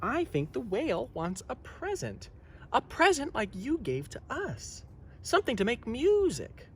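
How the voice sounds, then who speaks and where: A man voicing a small, earnest storybook boy who asks things gently and eagerly. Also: very high-pitched, huge pitch swings, unhurried, slight background noise, pitched above the performer's own voice, full character voice.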